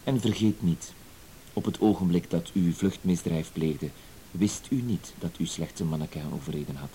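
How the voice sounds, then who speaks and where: An actor playing a cool, self-assured professional blackmailer in a radio drama, terse and matter-of-fact. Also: male voice, sits low, normal speed, mellow. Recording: hissy old radio play recording.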